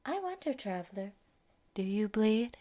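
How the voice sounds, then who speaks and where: A woman's voice: medium pitch, very animated, moderate pace, dark tone, lightly acted. A woman voicing a sadistic, gleeful female mad scientist who taunts and gloats over a captive in a theatrical roleplay monologue. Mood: menacing glee. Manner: taunting, gloating, giggly, swinging into whispers. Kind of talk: theatrical villain monologue, fan roleplay audio.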